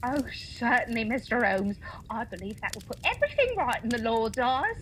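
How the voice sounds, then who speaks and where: Actress as excitable churchy scolding wife in a radio play, high bright voice, folksy. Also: female voice, moderate pace, a little hiss or hum. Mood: appeased. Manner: melodramatic, folksy turns of phrase. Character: excitable, pious working-class wife, scolding and superstitious. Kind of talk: holiday radio play acting.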